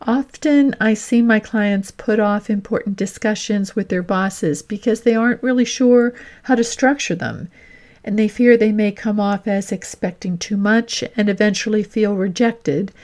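Deliberate woman coaching on a podcast. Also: mid-range voice, darkish tone. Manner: deliberate, instructive, addresses listener directly. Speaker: podcast host and executive coach. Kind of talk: scripted instructional podcast monologue.

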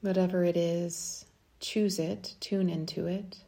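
A woman leading a guided meditation in a soft, soothing, unhurried way, at times breathy, with long pauses. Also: fairly low pitch, fairly even intonation.

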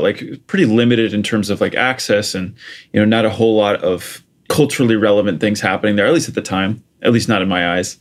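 A man in his thirties from Portugal with an American accent, a guest chatting casually about his start in music, with many "like" and "you know" fillers. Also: lowish voice. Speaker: interview guest, music producer. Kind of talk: casual conversational storytelling.

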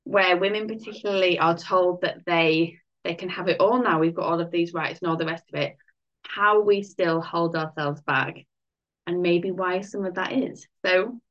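A woman's voice: fairly low pitch, moderate pace. A woman hosting a podcast interview in a chatty, upbeat way, dropping in fillers like "like.". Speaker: podcast host, life coach. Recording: muffled lo-fi audio.

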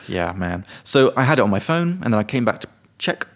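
A young British man chats casually on a podcast. He is easygoing and keeps explaining English expressions with handy example sentences. His voice sits at an average pitch. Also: sing-song, normal speed. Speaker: podcast co-host. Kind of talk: casual chat.

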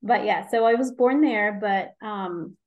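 A woman's voice: medium pitch, measured pace, narrow, tinny audio. A woman in her forties from Egypt, a physician guest in an interview, talking casually with frequent ums, restarts and easy laughs. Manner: hesitant, many ums and restarts, laughs easily.

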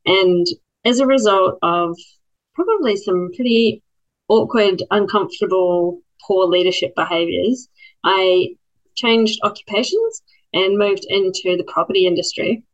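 A woman from New Zealand with a New Zealand accent, giving thoughtful, measured answers as a program participant. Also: mid-range voice. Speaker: program participant, former lawyer. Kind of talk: reflective interview answers. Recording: muffled lo-fi audio.

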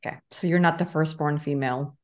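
A woman's voice: sits low, fairly even intonation, quick pace. A woman hosting a casual, reflective conversation, phrasing things informally and often checking in with tag questions. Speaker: interviewer, host.

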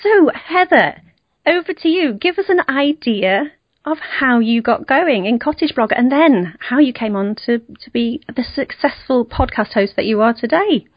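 A woman's voice: a little high, lively intonation, normal speed, no echo. A woman hosting a podcast, welcoming her guest in a friendly, conversational way. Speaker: podcast host. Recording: muffled lo-fi dry sound.

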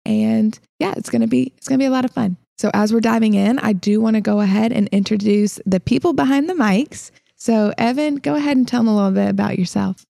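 A young woman with a light Southern accent hosting a casual podcast, upbeat and quick to laugh. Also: on the high side, creaky, warm tone, dry recording, studio quality. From Illinois. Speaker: podcast host.

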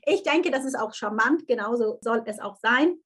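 A woman in her thirties hosting a podcast interview, lively and enthusiastic, often slipping in English phrases. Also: a little high, normal speed, husky. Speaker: podcast host.

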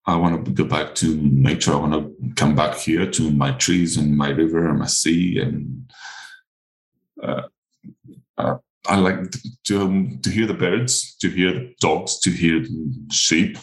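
Interview guest: greek-accented man, low deep voice, hesitant but eager, echoey room. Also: fairly even intonation, measured pace, vocal fry. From Crete, Greece. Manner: hesitant, enthusiastic, many fillers and restarts. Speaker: guest artist. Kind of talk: casual interview chat.

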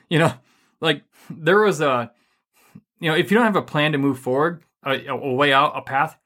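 A man co-hosting a casual faith-based money and marriage talk, energetic and rambling with many fillers. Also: fairly high pitch, moderate pace.

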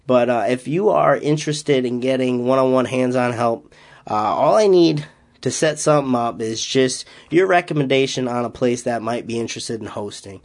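A man with a light Massachusetts accent talks alone into a podcast mic, upbeat and easygoing. His voice sits at an ordinary middle pitch, and he wanders from point to point with plenty of "uh" and "you know" along the way. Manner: upbeat, rambling, many "uh" fillers. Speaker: strength coach and podcast host. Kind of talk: casual solo podcast talk.

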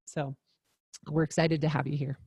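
A woman co-hosting a podcast, her voice low for a woman. She introduces her guest earnestly and drops in frequent "um"s. The sound is dry and close. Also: flat delivery, moderate pace, creaky, a little mellow, slightly rough, dry recording. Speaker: podcast co-host.

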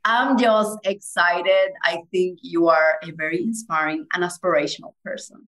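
A woman's voice: on the low side, steady tone, normal speed, a bit bright, rough recording. A woman from Cuba hosts a podcast interview. She talks with effusive enthusiasm, praising her guest and firing off eager questions. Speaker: podcast host.

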